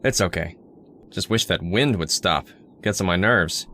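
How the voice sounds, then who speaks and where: A man's voice: sits low, unhurried, bright, forceful tone, slight background noise. An actor playing a touchy, volatile man in an old-time radio drama, insistent and casual in his speech. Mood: friendly, weary. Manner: casual, insistent, swinging between offhand and heated.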